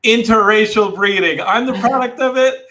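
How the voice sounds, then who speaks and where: A man from Texas hosts a casual podcast over a video call. His voice is bright and a little high, and he jokes, rambles and restarts his sentences. The talk stays loose and animated throughout. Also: moderate pace. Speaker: podcast host. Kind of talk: casual chat.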